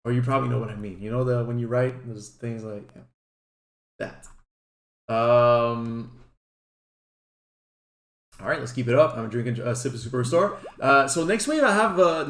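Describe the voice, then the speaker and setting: A man whose first language is French gives casual gameplay guide commentary as a streamer, chatting informally. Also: medium pitch. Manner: informal, chatty, occasional swearing. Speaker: gaming streamer. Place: indoors at a computer. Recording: roomy echo, faint background noise.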